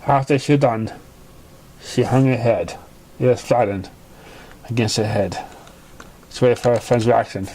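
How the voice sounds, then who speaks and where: A man's voice: mid-range voice, steady tone, a little slow, pauses often, a little mellow, a little hiss or hum, low-quality recording. A man reading a scripted story aloud as its narrator. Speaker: fan fiction reader.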